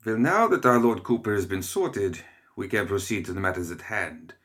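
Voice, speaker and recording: A man's voice: fairly low pitch, expressive, moderate pace, a bit bright. A man voicing a nervous, formal lawyer who speaks deferentially.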